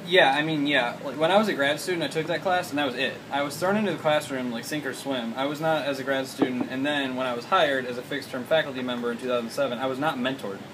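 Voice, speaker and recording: A man's voice: medium pitch, fast, hardly any pauses. A man working as a college instructor, speaking in a group discussion read aloud. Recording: noisy room with steady hum.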